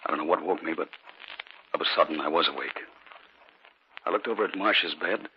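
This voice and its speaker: A deep-voiced husband narrator. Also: male voice, a little hiss or hum. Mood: uneasy. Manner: measured first-person narration. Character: calm husband narrating his eerie tale. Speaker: actor in an old radio drama. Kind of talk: 1940s radio acting. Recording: muffled old radio recording with music.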